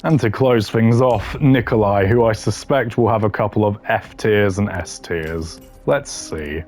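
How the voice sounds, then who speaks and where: Blunt man with a lowish voice. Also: a little slow, a little mellow, faint music behind. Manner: blunt, dismissive, dry humour. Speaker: gaming content creator ranking voice lines. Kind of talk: casual opinionated commentary.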